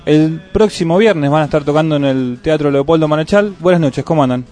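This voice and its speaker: A man's voice: on the high side, brisk, a little mellow, faint music behind. A man with an Argentine accent co-hosting a radio show, interviewing a band in a joking, easygoing way. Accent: Argentine Spanish. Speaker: radio host.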